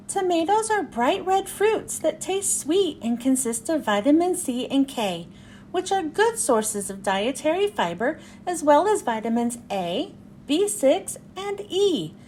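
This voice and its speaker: A woman with a high voice reads a children's storybook aloud. Her delivery swings widely and expressively, in a sing-song style meant for young listeners. Some faint-to-clear noise sits behind her. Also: big pitch swings, a little slow. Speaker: children's book reader. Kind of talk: animated scripted storybook read-aloud.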